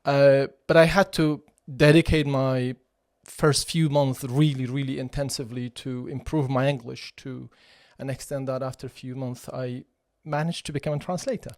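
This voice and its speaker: A man's voice: mid-range voice, fairly even intonation, moderate pace, hardly any pauses, darkish tone, no room sound. Kurdish-accented man, dry close sound. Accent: Iraqi Kurdish. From Kurdistan region of Iraq. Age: 40s. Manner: thoughtful, with many fillers and restarts. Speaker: podcast guest, chief executive of a cultural centre. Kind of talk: conversational interview.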